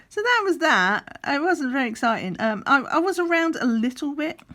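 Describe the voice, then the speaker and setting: A middle-aged woman, slightly croaky, chatting in a rambling way while doing a craft, sometimes counting under her breath. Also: sits high, sing-song, unhurried, pushed and bright. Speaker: crafting vlogger. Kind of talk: relaxed chatty craft vlog. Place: at a craft table indoors.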